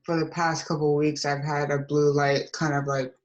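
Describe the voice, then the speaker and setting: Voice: mid-range voice, normal speed, highs cut off. Laid-back podcast co-host, casual chat. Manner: relaxed, agreeable, frequent backchannel replies.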